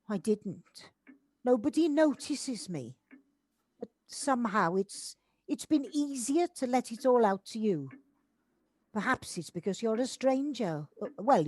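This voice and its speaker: A woman's voice: fairly high pitch, a little mellow, no room sound. An amateur actress playing a reserved, self-doubting older woman in an audio drama, kindly but wounded and hesitant. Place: recorded at home on a personal device.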